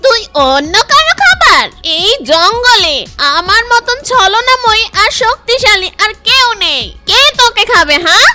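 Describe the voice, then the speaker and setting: A woman's voice: very high voice, big pitch swings, measured pace, loud music behind the voice, voice pushed up, full character voice. A woman voicing a gloating, menacing water witch in a children's cartoon story, cackling and taunting. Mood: indignant, boastful.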